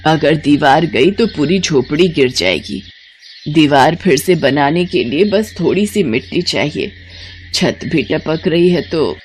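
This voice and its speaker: A woman voicing a poor, worried elderly village mother-in-law who talks in a weary, plaintive, blunt way in an animated story. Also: low-pitched, measured pace, voice pushed down. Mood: pleading. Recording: noticeable background noise.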